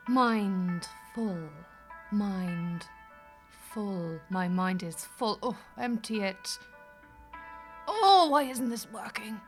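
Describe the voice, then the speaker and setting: Bright-voiced, highly strung office manager. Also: female voice. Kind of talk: comedy audio drama acting.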